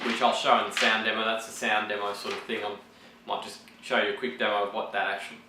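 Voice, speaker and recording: A man talking through a build report in a casual, rambling way, full of ums and restarts, his voice croaky from having partly lost it. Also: medium pitch, sharp tone, echoey room, slight background noise.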